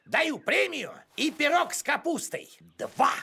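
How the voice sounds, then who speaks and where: A man playing an officious, crafty older village postman in an animated cartoon, creaky-voiced, fussy and pompous. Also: very high voice, a little slow, heavily acted. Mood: smug, haggling.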